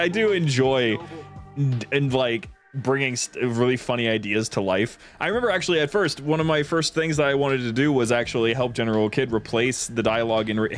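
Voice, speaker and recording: A man's voice: medium pitch, a bit bright. A man chatting casually as a game streamer, enthusiastic and giggly, with a rambling way of talking. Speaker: streamer co-hosting a mod showcase. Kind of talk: casual gameplay chat. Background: game music.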